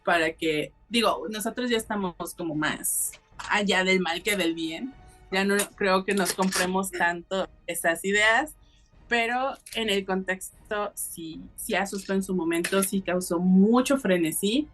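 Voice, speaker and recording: A woman's voice: medium pitch, measured pace, a bit bright, faint music behind. A woman co-hosting a podcast in casual discussion, speaking directly to listeners with advice. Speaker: podcast co-host.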